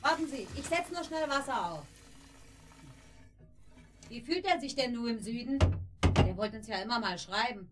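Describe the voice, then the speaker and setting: An actress playing a chatty, hospitable neighbour in a radio drama, insistent, colloquial and bustling. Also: female voice, fairly high pitch, animated, moderate pace, pauses often, noisy background, close to an everyday voice. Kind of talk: naturalistic German radio drama.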